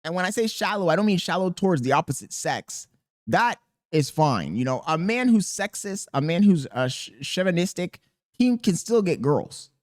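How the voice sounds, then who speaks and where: A man with a squeaky voice talking casually and profanely to camera as a content creator, rambling and animated. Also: moderate pace, no echo, studio quality. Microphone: podcast dynamic mic.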